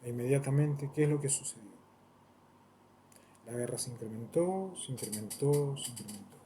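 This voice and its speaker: A man's voice: mid-range voice, normal speed, mellow, a little hiss or hum. A man giving a long, rambling monologue in a solemn, declaiming way, repeating phrases for emphasis. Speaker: self-styled theologian.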